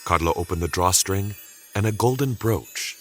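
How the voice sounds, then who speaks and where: A man narrating a scripted dramatic story deliberately, with suspenseful pauses. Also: sits low, a bit bright, faint music behind.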